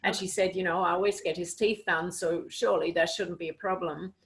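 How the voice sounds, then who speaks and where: A woman's voice: on the low side, fairly even intonation, brisk. A woman from Switzerland, a guest animal communicator, answering interview questions in an earnest, explaining way with many "you know"s, over a video call.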